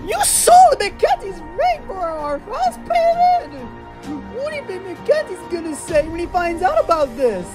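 A girl voicing excitable high Mario plush. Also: measured pace, loud music behind the voice.

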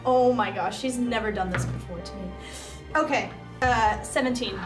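Excitable high-voiced young woman, roomy sound. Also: normal speed, distant mic. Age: young adult. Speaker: tabletop roleplaying player. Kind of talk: casual reactions during play. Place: indoor studio game table. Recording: roomy, off-mic, loud music behind. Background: prominent music.

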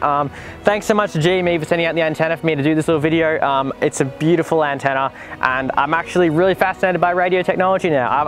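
A man in his twenties with an Australian accent, talking casually and enthusiastically to camera in a vlog review. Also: sits high. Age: 20s. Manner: enthusiastic, informal. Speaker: vlogger and presenter. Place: in a car and outdoors in the bush.

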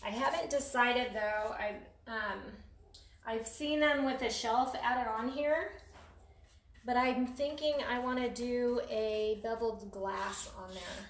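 High-voiced middle-aged woman in an echoey room. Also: slow. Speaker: host of live craft demonstration. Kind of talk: casual live-stream chat while painting furniture. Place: indoor craft paint shop. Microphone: phone or camera a few feet away. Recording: roomy echo, clear noise, clicks.

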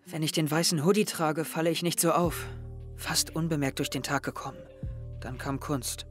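A man's voice: fairly high pitch, steady tone, normal speed, a bit bright, soft background music, natural voice. A young man playing an earnest, hesitant, guilt-ridden teenage boy in an audio drama, with a subdued manner. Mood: sad, subdued.

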